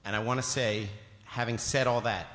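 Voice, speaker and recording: A man's voice: mid-range voice, fairly even intonation, normal speed, fairly bright tone. A man hosting a live script read as its writer, making stage remarks and reading out directions. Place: large hall, live on stage. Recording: echoey hall, clear background noise.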